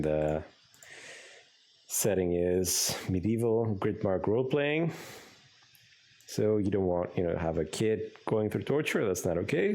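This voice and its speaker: Man, greek accent, very low voice, rambling streamer, lots of ums, close desk mic. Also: dark tone. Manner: rambling, thinks aloud with many fillers. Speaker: game streamer. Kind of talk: casual live-stream commentary.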